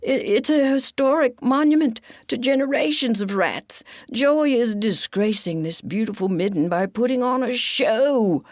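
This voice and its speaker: An earnest, proud male pack rat, as a woman reader puts him on, raised a little above her own voice and pressing each point firmly. The storybook delivery is slow and deliberate. The sound is close and dry. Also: a little high, no room sound, voice pushed up, natural voice. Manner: firm, earnest and insistent.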